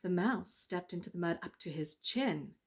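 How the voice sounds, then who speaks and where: A woman narrating a children's bedtime story in a measured, expressive read-aloud manner. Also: lowish voice, breathy edge.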